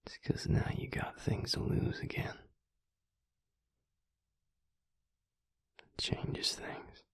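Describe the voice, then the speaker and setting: An actor playing a protective, earnest vampire lover in an intimate audio roleplay, speaking in a breathy, husky whisper with a soothing, folksy drawl. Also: male voice, a little slow, no room sound, natural voice. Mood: tender, soothing.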